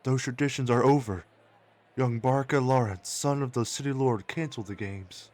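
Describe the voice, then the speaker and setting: Man as a wary, medium-pitched apple merchant. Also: darkish tone, lightly acted. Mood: guarded. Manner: measured, archaic storybook phrasing. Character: wary, world-weary male apple merchant, gruffly cautioning. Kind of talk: manga dub acting.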